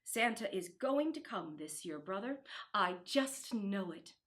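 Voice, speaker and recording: Woman voicing young girl, Christmas storybook, hopeful, earnest, full of wonder, highly expressive intonation. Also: measured pace. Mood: hopeful belief. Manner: earnest, wide-eyed wonder.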